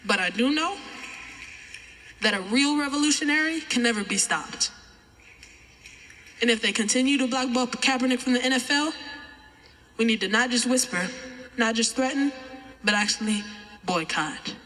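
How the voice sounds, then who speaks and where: A twelve-year-old American boy performing spoken-word poetry with emphatic, deliberate oratory. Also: extremely high pitch, bright, forceful tone, slight background noise. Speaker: young poet reciting. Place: large chapel, live audience event. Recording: echoey large chapel, long reverb.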